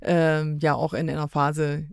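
A woman's voice: deep voice, little pitch movement, unhurried, gravelly, smooth, no echo, clean studio sound. A woman as a podcast guest, chatting casually and colloquially through a review, often agreeing.

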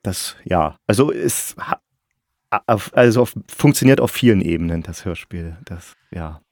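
Male interview host, lower register, very dry recording. Conversational, halting, rephrases as he goes. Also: expressive, darkish tone, no room sound. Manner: halting, often breaks off and rephrases mid-sentence. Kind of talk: casual interview chat.

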